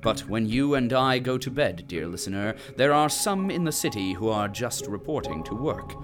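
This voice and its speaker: A man's voice: mid-range voice, animated, normal speed, music in the background. A man narrating an audio drama in a wry, theatrical storytelling manner.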